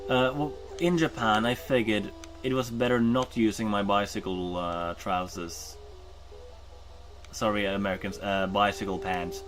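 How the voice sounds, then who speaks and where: Norwegian guy rambling on stream, lowish voice, lots of uhs, guitar music playing under him. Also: fairly even intonation, measured pace. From Norway. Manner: rambling, many ums and uhs, self-correcting. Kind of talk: casual chat while gaming.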